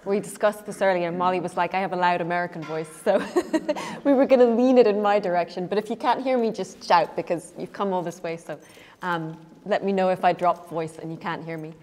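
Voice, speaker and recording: A woman in her thirties with a soft voice talks informally to an audience at a stand mic, telling a story with casual fillers. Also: fairly low pitch, fairly even intonation, a little fast, long reverb tail. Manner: chatty, informal, many fillers. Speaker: writer leading an artist talk. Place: gallery room, seated at a table.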